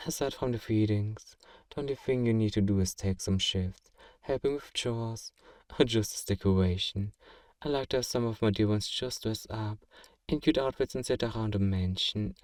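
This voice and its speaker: Mellow, genteel male vampire played by a man. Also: fairly low pitch, no room sound, close to an everyday voice. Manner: gentle, courteous, old-fashioned, reassuring. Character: kind, wealthy, genteel male vampire owner, soothing and possessive. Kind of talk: ASMR roleplay, speaking to the listener.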